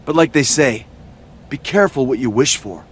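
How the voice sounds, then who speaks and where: An actor playing a smug, scheming wrestling villain, sarcastic and self-important, in a video game cutscene. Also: male voice, medium pitch, animated, moderate pace, slight background noise, natural voice.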